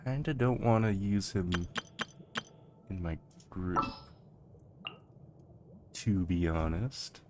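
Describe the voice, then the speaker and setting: A game streamer giving soft, casual gameplay commentary with hesitant, rambling asides. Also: sits low, animated, slow, pauses often, background noise.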